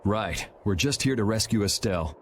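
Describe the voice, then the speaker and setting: A man's voice: on the low side, lively intonation, normal speed, slight background noise, lightly acted. An actor playing a sardonic, laid-back young swordsman who talks in a dry way. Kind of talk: video game voice acting.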